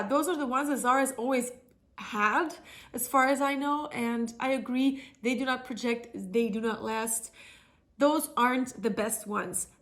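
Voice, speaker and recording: A woman's voice: fairly high pitch, a bit bright. A woman with a cold and a slightly nasal, blocked-up voice, chatting casually through a perfume review with lots of fillers and restarts. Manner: chatty, many fillers and self-corrections. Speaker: fragrance vlogger.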